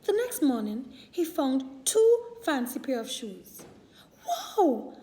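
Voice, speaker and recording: A woman storyteller reading a picture book aloud to young children in a deliberate, expressive way. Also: sits high.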